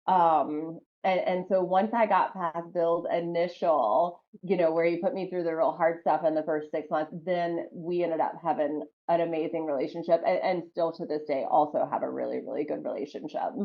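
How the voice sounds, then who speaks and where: A woman with a light Southern accent talks through her memories in a loose, rambling way, with plenty of "like" and "um". Her voice sits a little low for a woman. The podcast audio is dull and lo-fi. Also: steady tone, normal speed, few pauses. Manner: chatty, lots of "like" and "um". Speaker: podcast guest, former wrestler. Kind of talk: casual interview storytelling.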